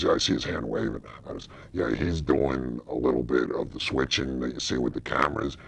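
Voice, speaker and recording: A man with a raspy voice bantering jokily in a TV studio. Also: very deep voice, fairly bright tone. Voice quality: raspy, gravelly. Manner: jokey, bantering. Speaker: show regular.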